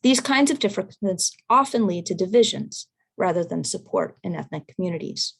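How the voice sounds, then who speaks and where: A woman presenter reading a prepared research talk in a measured, careful way over a video call. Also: lowish voice, expressive, vocal fry, breathy edge. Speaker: academic presenter.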